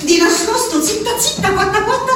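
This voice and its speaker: A very high, pleading female voice with a rustic Abruzzese accent. She is a tormented country wife confined to an asylum, speaking her letter as a halting stage monologue. The sound is strongly reverberant and a little distant, with faint noise. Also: animated, fairly bright tone. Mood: troubled, agitated. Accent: central-southern Italian, Abruzzese. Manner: rustic, halting, tagging phrases with "eh". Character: anguished peasant wife shut in an asylum, pleading. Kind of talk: theatrical monologue, letter spoken aloud.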